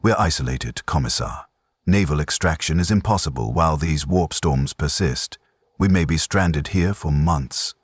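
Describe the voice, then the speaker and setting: A man voicing a pragmatic male colonel, measured, controlled and firm, in a narrated war story. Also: rumbling low, husky, dry recording, clean studio sound.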